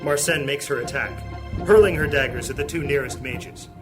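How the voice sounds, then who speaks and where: Man, mid-pitched, dramatic fantasy narration, scripted storytelling, music behind. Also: moderate pace.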